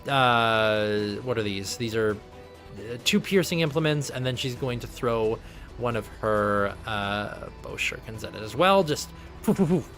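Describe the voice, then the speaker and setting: A man's voice: medium pitch, lively intonation, a little slow, fairly bright tone, faint music behind. A man acting as game master in a live tabletop game, narrating turns in a chatty way and thinking rules through aloud. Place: home streaming setup.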